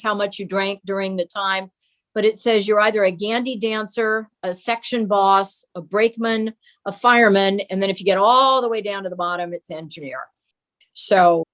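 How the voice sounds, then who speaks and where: Older lady being interviewed, chatting about old memories. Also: mid-range voice. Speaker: guest, daughter of a children's TV show host. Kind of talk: reminiscing in an interview.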